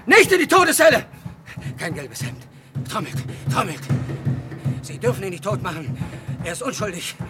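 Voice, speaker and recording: Deranged desperate young man, high pitched, childlike pleading, old noisy radio play. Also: wildly swooping pitch, normal speed. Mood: frantic pleading. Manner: repetitive, childlike short phrases. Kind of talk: German radio crime play acting.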